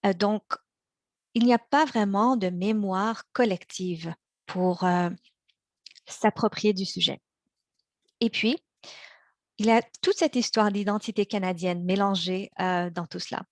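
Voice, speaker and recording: A young woman born in China and raised in Montreal, giving a prepared practice speech in a measured way, slowing on key words, with small restarts. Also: mid-range voice, no echo. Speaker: practice speaker for interpreters.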